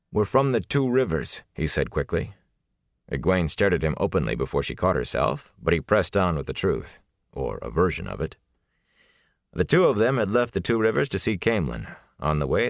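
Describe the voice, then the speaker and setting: A man's voice: deep voice, no echo, clean studio sound. A man reading fantasy fiction as a scripted, dramatic audiobook narration.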